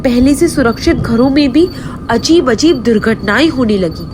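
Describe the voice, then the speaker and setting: A woman's voice: high voice, expressive, moderate pace, background noise. A woman narrating a folk tale as a dramatic scripted story. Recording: faint music behind the voice.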